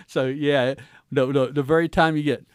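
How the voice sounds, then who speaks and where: A man's voice: fairly high pitch, steady tone, a little slow, smooth, no room sound, clean studio sound. A middle-aged American man hosting a casual interview, folksy and colloquial, putting probing questions to his guest. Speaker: guest host, former law enforcement officer.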